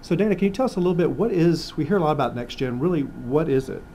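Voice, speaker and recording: A man's voice: a little high, quick pace, gravelly, mellow, slight background noise. A man hosting a scripted corporate interview. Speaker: host.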